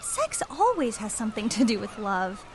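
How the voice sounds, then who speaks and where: A woman's voice: a little high, huge pitch swings, normal speed, slight background noise. An actress playing a free-spirited, openhearted but self-doubting woman in an audio drama, earnest and musing.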